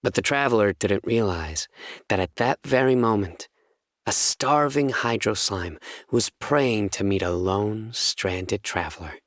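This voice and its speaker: An actor playing a solemn, stern young enforcer of justice in a video game, speaking in a measured, formal, deadpan way. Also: male voice, on the low side, no echo, clean studio sound, close to an everyday voice. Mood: earnest storytelling. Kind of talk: scripted video game character voice lines.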